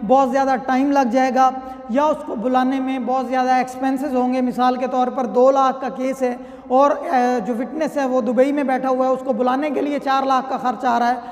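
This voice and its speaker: A man's voice: extremely high pitch, level tone, moderate pace, a little mellow. A man with a Pakistani accent giving an explanatory law lecture in a conversational way, reading out and explaining. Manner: conversational, reads clauses then explains them. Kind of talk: explanatory lecture with worked examples. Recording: long reverberant hall-like echo.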